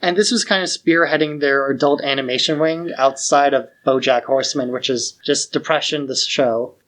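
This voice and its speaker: A man's voice: medium pitch, fairly even intonation, moderate pace, fairly bright tone. A man co-hosting a podcast in casual, conversational discussion. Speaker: podcast co-host.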